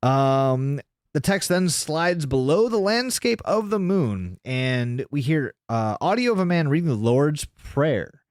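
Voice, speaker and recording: A man's voice: on the high side, sing-song, takes it slowly, no echo, studio quality. A man hosting a podcast, casually recounting a video in informal, sweary language. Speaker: podcast host.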